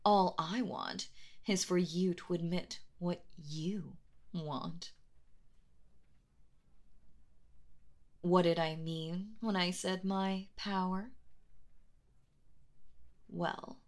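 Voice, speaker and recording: Very slow, breathy commanding lady boss, acted by a woman. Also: lowish voice, fairly even intonation. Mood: commanding, coaxing. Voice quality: breathy, often dropping into whispers. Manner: slow, coaxing, seductively dominant. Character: calm, commanding, possessive woman boss with hypnotic power. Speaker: voice actor narrating roleplay. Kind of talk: intimate ASMR roleplay. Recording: long reverb on the voice.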